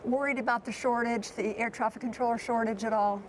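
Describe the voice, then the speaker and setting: A woman with a somewhat high-pitched American voice delivers a broadcast news report. She phrases things deliberately and puts emphasis on key words, as a reporter explaining to viewers. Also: fairly even intonation, a little hiss or hum, rough recording.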